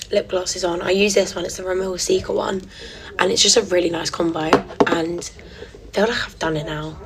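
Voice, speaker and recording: A young woman, chatting casually while getting ready on her phone camera, giggly and sometimes dropping to a soft near-whisper. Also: on the low side, noisy background. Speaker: vlogger. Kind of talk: casual get-ready-with-me chat.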